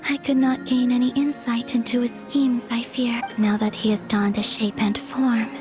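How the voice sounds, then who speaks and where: An actress playing a serene, otherworldly moon maiden in a video game, speaking softly in a measured, formal, detached way. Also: female voice, on the high side, little pitch movement, over music, natural voice. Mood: pensive, grave.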